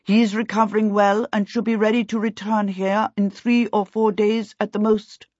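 A woman voicing a tall, calm male elf who speaks in a measured, precise and coolly polite way. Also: medium pitch, dry recording, pitched above the performer's own voice, lightly acted. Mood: calm formal. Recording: dull, dry sound.

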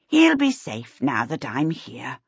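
A brisk, no-nonsense middle-aged witch from a storybook, performed by a woman reader. She is dry, severe and impatient, swinging widely in pitch to stress her point. The voice is a little husky, on slightly muffled audio. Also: huge pitch swings, dry recording, heavily acted. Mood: brisk concern. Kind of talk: audiobook character voice.

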